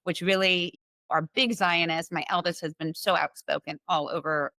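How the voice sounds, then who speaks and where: A woman's voice: lowish voice, steady tone, normal speed, creaky, bright, forceful tone, dry recording. A middle-aged woman from Iran, raised in Los Angeles, telling her family story as an interview guest, earnest and flowing with many fillers and restarts.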